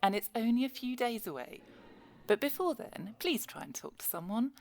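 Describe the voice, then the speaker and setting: Lively, coaxing carer. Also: female voice, on the high side, normal speed, vocal fry. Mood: patient, coaxing. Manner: coaxing, reassuring. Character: adult women caring for elderly, patient and cajoling. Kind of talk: audio drama acting.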